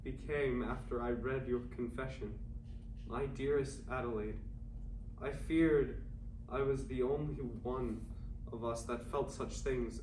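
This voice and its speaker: A man playing a yearning 1920s writer of secret love letters in an earnest, measured staged play reading. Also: mid-range voice, darkish tone, natural voice. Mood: tender, fearful. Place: indoor room at a staged reading. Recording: echoey room, distant mic, faint noise.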